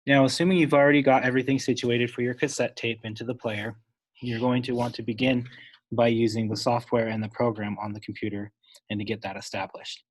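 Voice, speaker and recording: A man giving an unscripted, instructional step-by-step software tutorial, talking wordily and correcting himself as he goes. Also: mid-range voice, flat delivery, brisk, low-quality recording. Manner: instructional, wordy, with self-corrections.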